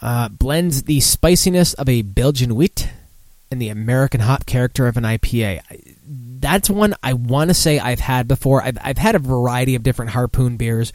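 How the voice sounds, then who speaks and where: A man's voice: mid-range voice, normal speed, darkish tone, dry recording. A mildly tipsy man hosting a casual live podcast, rambling and swearing in a self-deprecating way. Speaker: podcast host. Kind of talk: casual live beer-news chat.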